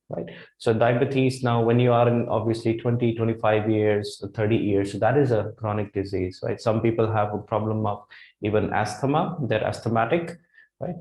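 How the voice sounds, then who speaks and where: A man in his thirties with an Indian English accent, teaching vocabulary at a whiteboard. He repeats phrases slowly and keeps checking in with "right?". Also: on the low side, darkish tone. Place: classroom in front of a whiteboard. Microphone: lapel mic. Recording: echoey classroom.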